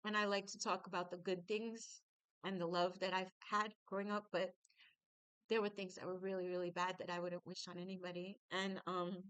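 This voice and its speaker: A middle-aged woman from New York telling her childhood story, halting and reflective, with frequent fillers. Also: fairly low pitch, little pitch movement, fairly bright tone, clean tone, dry recording, poor audio. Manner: reflective, halting with fillers, laughs through painful memories. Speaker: singer. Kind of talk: personal storytelling.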